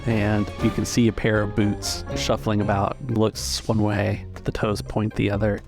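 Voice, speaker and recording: Creaky-voiced man running a tabletop game. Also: lowish voice, completely flat delivery, a little slow. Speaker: game master. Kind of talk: tabletop roleplay narration. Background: music.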